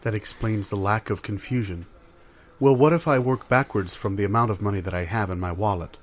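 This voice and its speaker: A man improvising a comedy role as a flustered foreign restaurant customer, halting, formal, apologetic and deferential. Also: fairly low pitch, a little hiss or hum, natural voice. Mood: hesitant, uneasy.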